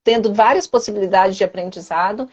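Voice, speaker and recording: A woman's voice: medium pitch, a little fast, a little mellow. Chatty Brazilian woman on a lo-fi call. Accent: Brazilian Portuguese. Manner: chatty, repeats and restarts phrases, many tag questions. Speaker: interview guest, former student. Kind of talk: casual conversational interview.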